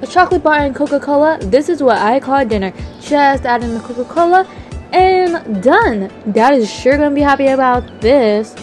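Woman acting high, whiny, defiant daughter. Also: expressive, normal speed, soft background music. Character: hurt, defiant young daughter, fed up. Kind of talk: amateur voice-acted game roleplay.

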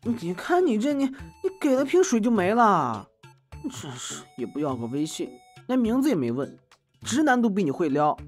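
A woman playing a cheeky, excitable younger sibling in an audio drama, teasing, chatty and exclamatory. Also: medium pitch, normal speed, faint music behind. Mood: teasing, exasperated.

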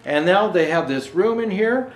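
An older man reading a children's picture book aloud, pausing to explain things gently to young viewers. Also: high-pitched, animated. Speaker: storyteller dressed as Santa Claus. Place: indoor room with Christmas decor. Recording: roomy indoor echo.

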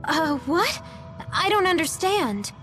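High-pitched, perky teenage girl. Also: slow, heavily acted. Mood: startled. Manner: expressive, plain-spoken, girlish. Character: perky, earnest, self-doubting teenage girl. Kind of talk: English video game voice acting. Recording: game music behind the voice.

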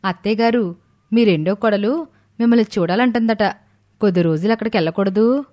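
A woman voicing a two-faced, scheming elder daughter-in-law in an animated story, dramatic and insincere. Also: medium pitch, fairly quick, lightly acted. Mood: wheedling.

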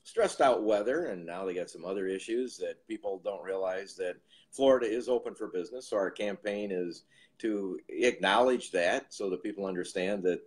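A man's voice: sits low, normal speed, husky. A man in his fifties hosting an interview over a video call, chatty and rambling. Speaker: host. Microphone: video call with earbud headset.

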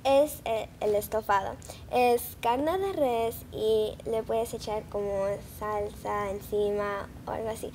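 A woman's voice: fairly high pitch, fairly even intonation, slow, darkish tone, a little hiss or hum. A young woman giving brief, shy answers as an interview guest. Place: indoor TV studio set.

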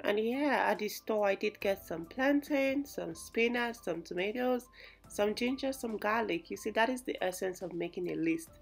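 A woman's voice: medium pitch, lively intonation, normal speed, creaky, soft background music. A young woman vlogging about her grocery shopping in a chatty, explanatory way, with lots of fillers. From Nigeria. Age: young adult. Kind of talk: casual shopping vlog narration.

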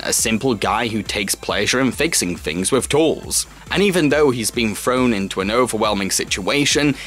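A man's voice: medium pitch, a bit bright. Male film reviewer narrating over music. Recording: music under the voice.

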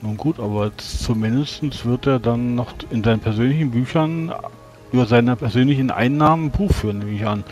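A man speaking in character in a tabletop role-playing game, arguing in a deliberate, formal way. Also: mid-range voice, a little mellow, soft background music. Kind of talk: in-character improvised game dialogue.

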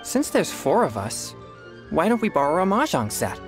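A cheerful, eager young girl from a video game. Her delivery bounces in pitch, sits a bit low for a girl, and clear music plays behind. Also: very animated, moderate pace. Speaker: game character.

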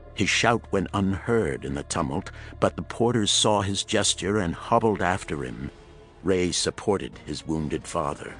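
A man's voice: low-pitched, a bit bright, no room sound. A man narrating a pulp thriller audiobook in a dramatic, suspenseful storytelling manner.

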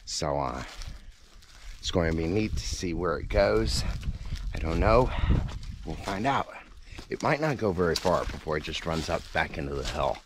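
A man's voice: low voice, lively intonation, normal speed, pauses often. A man in his forties talking casually to his handheld camera outdoors. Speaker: vlogger and farm worker. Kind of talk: casual outdoor vlog narration. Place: outdoors on a farm and hillside. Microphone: handheld camera at arm's length. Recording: noisy handheld outdoor recording.

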